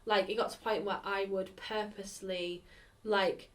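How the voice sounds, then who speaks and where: A woman's voice: mid-range voice, little pitch movement, normal speed, distant mic. A woman speaking candidly in an interview, rambling and filling her sentences with "like". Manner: rambling, filled with "like".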